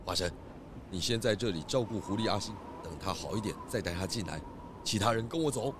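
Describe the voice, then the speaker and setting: A man acts as a pig police inspector with a low voice, genial and methodical. He puts probing questions politely, in the style of a children's audio story. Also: animated, brisk, background noise, close to an everyday voice. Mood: businesslike, calm. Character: adult male pig detective inspector, genial, methodical, chuckling. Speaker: detective questioning suspects. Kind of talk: children's audio drama acting.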